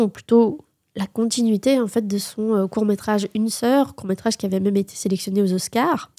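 Fast-talking opinionated Belgian woman. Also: medium pitch, warm tone, no room sound, studio quality. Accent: Belgian French. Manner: fluent, opinionated. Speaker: film critic co-host. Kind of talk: casual film review chat.

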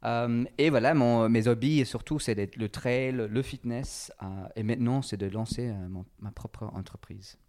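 A man's voice: medium pitch, moderate pace, hardly any pauses, darkish tone. A man with an English accent, a fitness coach interviewed in French, speaking hesitantly with many fillers and restarts. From England. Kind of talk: casual interview, halting French.

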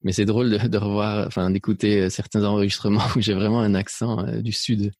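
Homme hésitant à la voix grave. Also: male voice, fairly low pitch, level tone, brisk, a little mellow, no echo, studio quality. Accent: mixed French, slight Belgian. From Spain. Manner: hesitant, many fillers and restarts. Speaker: interview guest. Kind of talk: reflective personal interview.

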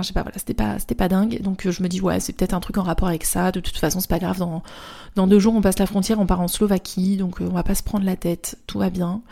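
A woman's voice: lowish voice, vocal fry, mellow. A young woman from France telling a personal story in a casual, rambling way, with slang, fillers and restarts. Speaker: spiritual therapist and vlogger.

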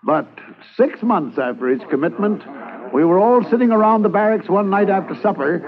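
A man narrating a 1950s radio drama in a lively storytelling way. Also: sits high, fairly quick. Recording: tinny old radio transcription.

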